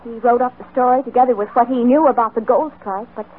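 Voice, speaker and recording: Woman acting a high-voiced, worried frontier wife. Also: steady tone, fast, close to an everyday voice. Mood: fearful. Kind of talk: old-time radio western acting. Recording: muffled, noisy vintage radio recording.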